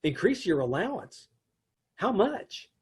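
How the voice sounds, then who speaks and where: A man's voice: high-pitched, big pitch swings, slow, husky, full character voice. A man playing an exasperated, wry husband who also narrates, in an amateur old-time radio play. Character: put-upon husband, adman Mister Ace. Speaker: narrator of the story.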